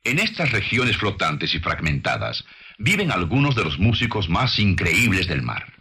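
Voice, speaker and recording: A man reads scripted narration in a low, husky voice with a bright, forceful edge. He is deliberate and didactic, shaping his lines like a sermon and leaning on rhetorical emphasis. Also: huge pitch swings. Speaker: documentary narrator and preacher.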